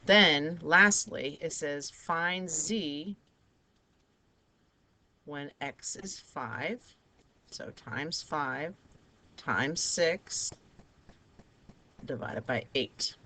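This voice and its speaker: A woman teaching a math lesson with a raspy, hoarse voice, talking students through each step of the problems. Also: deep voice, drawn-out words, long pauses, pushed and bright. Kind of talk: recorded math lesson over a digital whiteboard.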